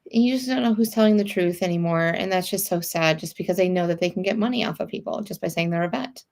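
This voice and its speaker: A woman's voice: on the low side, fast, darkish tone. A woman co-hosting a live sewing stream in a casual chat, agreeable and often murmuring assent. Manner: agreeable, frequent murmured backchannels.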